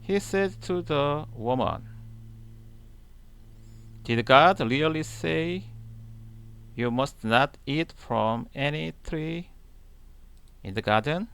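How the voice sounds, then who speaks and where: Very slow, deliberate man reading scripture. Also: on the high side, animated, gravelly, fairly bright tone, smooth. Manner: deliberate, halting short phrases. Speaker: channel host reading the Bible aloud. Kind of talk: slow scripture read-aloud.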